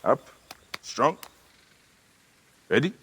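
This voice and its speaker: A man's voice: extremely slow, background noise. An actor playing a protective, intense warrior father in a video game. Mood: fatherly, teasing. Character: warrior father, protective, playful with child, fierce toward foes. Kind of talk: dramatic video game acting.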